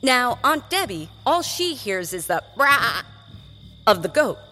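A woman's voice: on the high side, very animated, slow, pushed and bright, faint music behind. A woman narrating a children's drama in a scripted, suspenseful and emphatic storytelling style.

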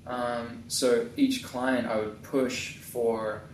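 A man, a tattoo artist being interviewed in his shop, talking casually in halting bursts with many restarts. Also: mid-range voice, level tone, far from the mic, a little hiss or hum. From New Zealand. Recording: echoey room, a bit off-mic.

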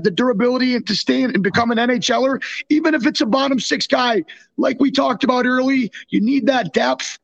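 A man's voice: very high-pitched, creaky. A North American man co-hosting a sports podcast, chatting casually in an informal, buddy-like way. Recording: dull, lo-fi remote audio.

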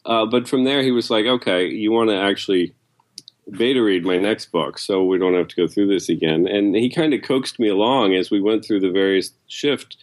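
A man's voice: fairly low pitch, moderate pace. A man, an editor, answering questions casually as a guest in an interview.

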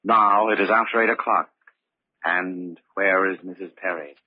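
A man performs a sinister narrator of a radio murder thriller. His low voice is grave, measured and deliberate. The recording is old and muffled. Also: steady tone, very noisy, close to an everyday voice. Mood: grave, ominous.

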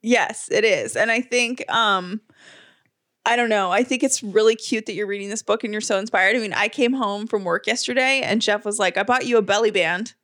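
A woman's voice: mid-range voice, moderate pace, a bit bright, dry recording, studio quality. A pregnant woman co-hosting a podcast, chatting agreeably and reading an upbeat ad. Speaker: podcast co-host. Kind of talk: casual chat and ad read.

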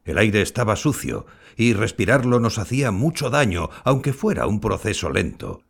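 A man acting a kindly, modest old grandfather and former scientist in an audio drama, explaining things in a measured way. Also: fairly low pitch, sing-song, creaky, husky, heavily acted. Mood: earnest, reflective. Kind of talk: scripted audio fiction acting.

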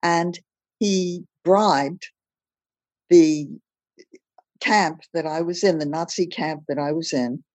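An older woman from Belgium, recounting her life story as a podcast guest in a measured, plain-spoken way. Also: deep voice, darkish tone, dry recording. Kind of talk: personal storytelling in a podcast interview.

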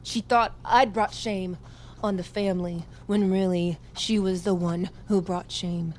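A woman's voice: lowish voice, fairly even intonation, a little slow, natural voice. Casting: actress for young American army private, female, blunt, argumentative, raw with grief; audio drama, roomy sound with faint noise. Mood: bitter. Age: young adult.

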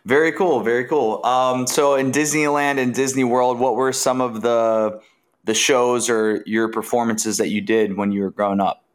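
A man's voice: mid-range voice, fairly even intonation, normal speed. A man hosting an interview podcast, upbeat and casual, with frequent "uh" fillers. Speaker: podcast host.

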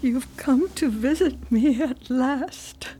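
Frail, high-voiced old mother, very slow. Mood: tender joy. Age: 60s. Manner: slow, drawn-out, doting. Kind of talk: audio drama acting.

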